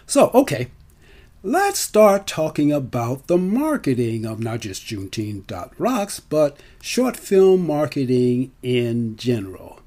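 A man's voice: a little high, warm tone. A middle-aged man talking casually to an audience as a storyteller, with a theatrical, emphatic delivery. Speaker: storyteller and filmmaker. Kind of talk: casual spoken monologue to an audience.

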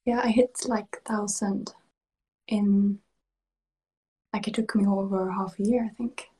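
A woman's voice: medium pitch, steady tone, measured pace, breathy edge, poor audio. A young Swedish woman, a native Swedish speaker with a mixed English and Australian accent, chatting as a podcast guest, laughing often and drifting into whispers. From Sweden. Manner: giggly, slips into soft whispers. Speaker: podcast guest, ASMR creator. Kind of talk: casual interview chat.

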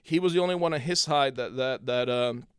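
A man's voice: medium pitch, brisk, clean tone, dry recording, studio quality. A middle-aged man from Cuba in a casual conversation, telling a long story with many restarts and repeated words.

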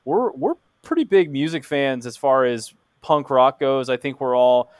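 A man's voice: mid-range voice, animated, measured pace, clean tone, dry recording, studio quality. A man hosting a podcast, asking questions in a loose, easygoing way with lots of fillers. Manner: easygoing, filler-heavy, chatty. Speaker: podcast host. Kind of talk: casual podcast interview.

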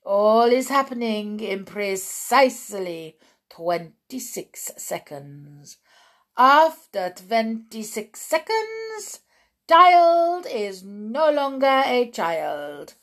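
A woman voicing a domineering old witch villain in a storybook, theatrical and deliberate, in broken English. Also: on the high side, big pitch swings, fairly bright tone, voice pushed up, heavily acted. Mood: triumphant. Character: gloating, domineering old Grand High Witch, foreign-sounding villain.

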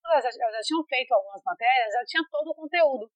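A chatty woman, telling her story in a relaxed interview. Her voice sits fairly high, and she keeps it lively with fillers and restarts. The recording is dry, with almost no room sound. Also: racing, no room sound, muffled recording. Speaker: interviewee, foreign master's student in France. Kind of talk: casual conversational interview.

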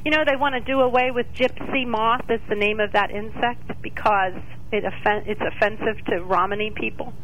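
A woman with a somewhat high voice calls in to a talk radio show. She speaks casually and frankly, in an outspoken, conversational manner. There is faint noise on her phone line. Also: steady tone, normal speed, a bit bright.

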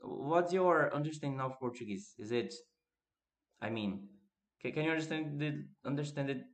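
A man's voice: a little high, lively intonation, unhurried. A man from Recife with a northeastern Brazilian accent, chatting casually as he teaches a live online class and addresses his students. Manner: chatty, questioning, addressing viewers by name. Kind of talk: casual interactive lesson, reading and answering chat messages.

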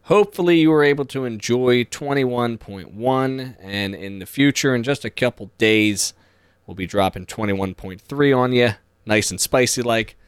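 A man's voice: mid-range voice, moderate pace, smooth, no echo. A man hosting a podcast, presenting announcements in an upbeat, chatty, informal way with playful asides. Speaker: podcast host.

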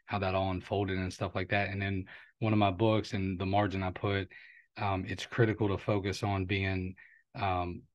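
A man's voice: low-pitched, level tone, moderate pace, no echo, dull audio. A man chairing a recovery study meeting over a video call, speaking in an easygoing, conversational way. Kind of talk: casual meeting facilitation.

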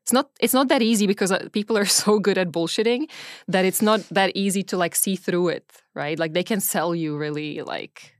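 Smooth lower-pitched woman in conversation. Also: brisk, no echo, studio quality. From Czech Republic. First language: Czech. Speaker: interview guest, venture capital investor. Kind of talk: casual conversational interview.

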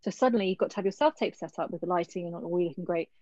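Chatty casting director on a dull video call. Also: female voice, on the low side, racing, a little mellow, no room sound. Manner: thoughtful, chatty, thinks aloud. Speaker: casting director leading an actors' workshop. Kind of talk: workshop Q&A advice.

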